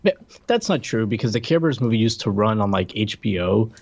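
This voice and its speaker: Man, thirties to forties, slightly low voice, dry close recording, casual movie chat, wry and thoughtful. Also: animated, fairly quick, gravelly, darkish tone, dry recording. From Puerto Rico, later United States. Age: 30s to 40s. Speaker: podcast co-host and film reviewer. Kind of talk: casual unscripted film discussion.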